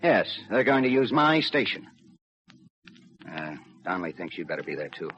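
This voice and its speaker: Deep-voiced 1950s radio police lieutenant. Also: male voice, big pitch swings, normal speed, fairly bright tone. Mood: subdued, matter-of-fact. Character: steady, businesslike railroad police lieutenant, narrating his case. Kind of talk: 1950s radio crime drama narration and dialogue. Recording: muffled old radio recording, crowd noise.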